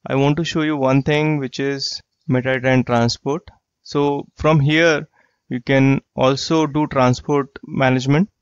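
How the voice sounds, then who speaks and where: A man walking through a software tool in a screen-share tutorial, explaining plainly and repeating himself. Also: mid-range voice, smooth, dry recording. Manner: plain explanatory, repeats phrases like "you get the idea". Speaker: software trainer. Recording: dry, slightly dull lo-fi voice track.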